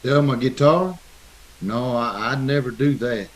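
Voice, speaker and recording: An American man playing a simple, timid old soldier drifter in a radio Western, meek and halting. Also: medium pitch, lightly acted. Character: simple, timid, homely Yankee veteran drifter.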